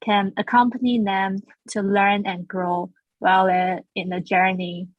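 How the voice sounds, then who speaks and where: A woman's voice: medium pitch, little pitch movement, measured pace, dry recording, lo-fi sound. A woman from Taiwan, a school founder being interviewed, talking earnestly with frequent restarts. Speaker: guest, founder of a language school. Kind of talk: casual interview.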